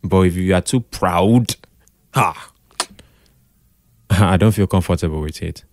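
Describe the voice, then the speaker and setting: A Nigerian man talking casually as an interview guest, expressive, slipping into Pidgin and repeating phrases for emphasis. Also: sits low, slow pace. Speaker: podcast guest, radio drama producer.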